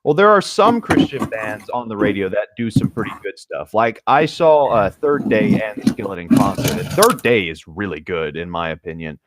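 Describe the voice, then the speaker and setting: A man's voice: lowish voice, big pitch swings, moderate pace, few pauses, very noisy. A man chatting casually, feeling his way through his sentences in a tentative manner. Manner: hesitant, tentative phrasing. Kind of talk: casual chat.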